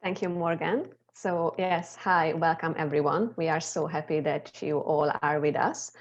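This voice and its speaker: A woman's voice: low-pitched, fairly even intonation, measured pace, dull audio, slight background noise. A young adult Hungarian woman with a Hungarian accent, presenting an informal educational tour as a guide on a video call.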